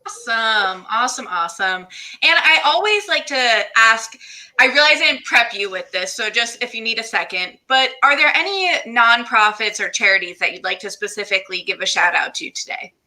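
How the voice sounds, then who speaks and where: A woman's voice: on the high side, animated, normal speed, pushed and bright, poor audio. A woman hosting a casual livestream interview in an effusive, informal manner. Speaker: interview host.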